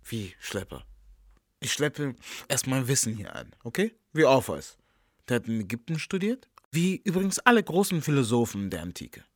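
An actor playing a cocky, indignant smuggler with a colloquial, provocative manner in a radio play. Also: male voice, medium pitch, sing-song, normal speed, dry recording, clean studio sound. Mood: indignant, casual. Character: cocky, indignant male smuggler, casual self-styled helper and philosopher.

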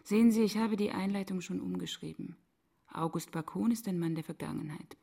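An actress playing a defiant, sardonic woman scholar in a radio play, speaking deliberately and provocatively. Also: fairly low pitch, creaky, dark tone, breathy edge. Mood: cool, mocking.

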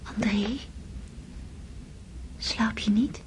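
An actress playing a gentle, devoted woman soothing her dying beloved in a radio drama, soft and breathy. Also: medium pitch, little pitch movement, drawn-out words, background noise. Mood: tender, soothing. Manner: soft, breathy, tender whispering. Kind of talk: Dutch radio drama acting.

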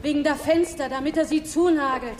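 A woman's voice: sits high, steady tone, fairly quick, darkish tone, natural voice. A woman playing an anxious, timid housemaid in a German radio drama, worried and deferential. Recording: distant, echoing room, faint noise.

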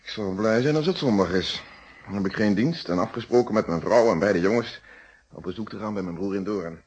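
An actor in a Dutch radio play playing a courteous, methodical police inspector with formal, deliberate questioning. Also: male voice, fairly low pitch, a little mellow, a little hiss or hum, close to an everyday voice. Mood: explaining. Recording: dull, band-limited old radio recording.

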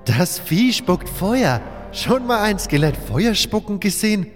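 A man voicing a wry, mocking male adventurer in a fantasy audio drama, sarcastic and animated. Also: high-pitched, unhurried, pitched above the performer's own voice. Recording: hall-like reverb, music underneath.

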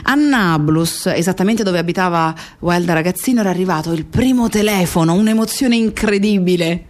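An actress playing a grieving woman who fondly recalls her close friend in a reflective radio theatre monologue, pausing and repeating herself. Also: lowish voice, lightly acted. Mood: fond, nostalgic. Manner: reflective, with pauses and repetitions.

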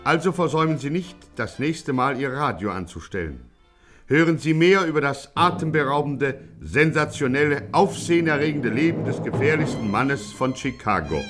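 A man's voice: fairly high pitch, expressive, moderate pace. A man announcing a radio serial in a theatrical, mock-grandiose scripted style of the 1950s. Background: music.

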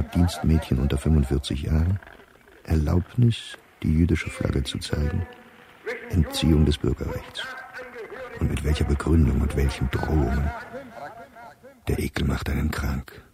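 An actor playing an embittered, anxious middle-aged professor reading his diary in a radio play, in a measured, weary manner. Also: very deep voice, a little mellow. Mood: bitter, weary. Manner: measured, weary, dropping to near-whisper at grim thoughts. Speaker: diarist narrator.

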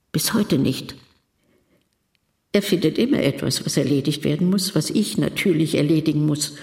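A woman's voice: medium pitch, gravelly, darkish tone, close to an everyday voice. An actress playing an elderly, caring wife who tells her story in a plain, conversational radio drama monologue. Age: older. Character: elderly devoted wife, caring, worried, quietly amused.

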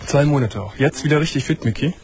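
A man hosting a late-night call-in show from a studio, asking calm, probing questions and giving short replies as he listens. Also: fairly high pitch, quick pace, slight background noise. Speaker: radio and TV talk show host.

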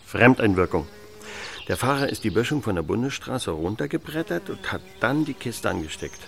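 A gruff, officious village policeman in an audio drama. His low voice crackles with gravel and his phrases come clipped. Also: very animated, normal speed, a bit bright, faint music behind, exaggerated voice. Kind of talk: German audio drama acting.

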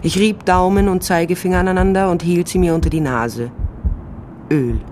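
A woman's voice: fairly low pitch, fairly even intonation, normal speed, a little mellow, a little hiss or hum, lightly acted. An actress playing a tough, sly private investigator, narrating her case tersely and dryly in a crime radio drama. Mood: tense, terse.

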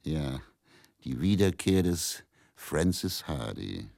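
A man playing a weary, fatalistic middle-aged faith healer in a radio drama monologue, telling his story in a measured, brooding way. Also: rumbling low, very animated, gravelly, a little mellow, dry recording, clean studio sound, full character voice. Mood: bitterly ironic.

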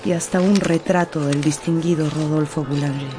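A woman narrates a radio novel in a measured, dramatic storytelling manner with weighted pauses. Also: deep voice, steady tone. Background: music.

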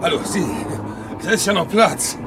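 A man's voice: high voice, normal speed, lightly acted. A man playing an indignant war veteran on crutches in a 1960s German radio play, protesting in formal, agitated speech. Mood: pleading, polite. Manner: polite address turning to agitated protest. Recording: noisy vintage radio play, vehicle noise.

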